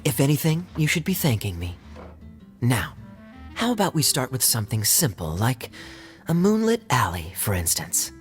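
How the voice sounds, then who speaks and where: An actor playing a suave, teasing young man with smooth talk in a game cutscene. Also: medium pitch, huge pitch swings, moderate pace, faint music behind. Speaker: tavern customer.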